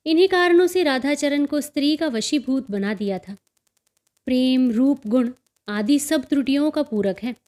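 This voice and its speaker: A woman reading a literary story as an audiobook narrator, in an expressive, enunciated way. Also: high voice, normal speed.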